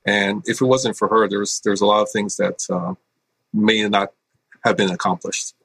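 A middle-aged man from Hawaii, a school principal, answering interview questions in an earnest, halting way with many fillers. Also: lowish voice, level tone, vocal fry, a bit bright, breathy edge, dry recording.

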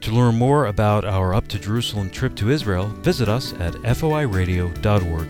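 A man's voice: lowish voice, animated, brisk. A man reading a scripted radio advert as its announcer. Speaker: promo announcer. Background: soft music bed.

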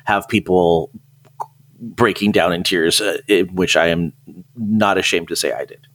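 A man's voice: low voice, a little slow, fairly bright tone. A man chatting informally and enthusiastically on a podcast. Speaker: podcast speaker with theater background. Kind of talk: casual podcast chat.

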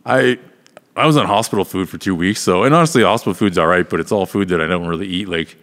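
A man co-hosting a podcast in casual chat, easygoing and quick to agree. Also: deep voice, fairly bright tone, long reverb tail. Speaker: podcast co-host.

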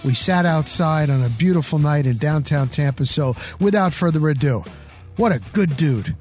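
High-pitched, effusive male sports talk host. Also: expressive, moderate pace, soft background music. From New Jersey, USA. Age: middle-aged. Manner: effusive, emphatic, repeats phrases for emphasis. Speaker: interview show host. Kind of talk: enthusiastic sports talk and interviewing.

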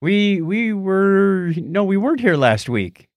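Lighthearted American man with animated pitch. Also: slow pace, dry recording, clean studio sound. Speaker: podcast co-host. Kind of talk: scripted show opening and casual chat.

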